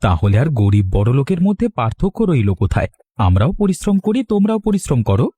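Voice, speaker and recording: A man's voice: medium pitch, very animated, fast talker, mellow, slightly rough, no echo. A man playing a poor, hardworking young farmer in a Bengali cartoon, humble, plain-spoken and resigned. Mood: skeptical, plain-spoken.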